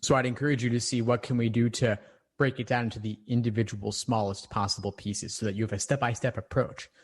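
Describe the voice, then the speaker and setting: A man's voice: mid-range voice, fairly even intonation, fast. A man coaching a student over a video call, speaking in a reassuring, encouraging way. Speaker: LSAT tutor and podcast host.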